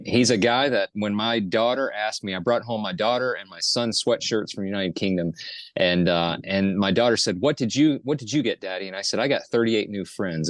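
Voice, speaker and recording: A middle-aged man hosting a podcast, earnest and halting, with fillers as he reads a prepared introduction. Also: medium pitch, no echo, studio quality. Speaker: podcast host. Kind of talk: casual podcast chat and guest introduction.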